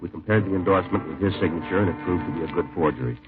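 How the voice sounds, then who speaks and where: An actor playing a terse, businesslike police detective in a 1950s radio crime drama, speaking in a clipped, deadpan way. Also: male voice, deep voice, rapid-fire, close to an everyday voice. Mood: deadpan, factual. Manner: clipped, deadpan, matter-of-fact. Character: terse, businesslike male police sergeant detective narrating his case. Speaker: detective and narrator. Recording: tinny old radio recording, music behind.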